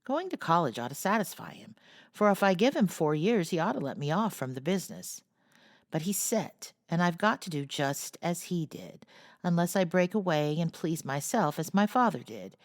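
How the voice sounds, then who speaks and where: A woman reads as an impatient well-off teenage boy from a classic storybook, restless and eager to break free. The line swings widely in pitch with a gravelly edge, and the sound is close and dry. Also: big pitch swings, moderate pace, dry recording. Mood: frustrated.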